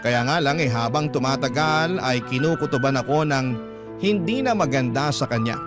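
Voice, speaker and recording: A man with a Filipino accent reading a first-person story dramatically as a radio storyteller. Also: fairly high pitch. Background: background music.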